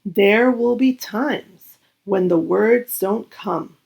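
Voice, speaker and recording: A woman reading a children's picture book aloud in a gentle, deliberate, expressive storytelling manner with dramatic pauses. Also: mid-range voice, creaky.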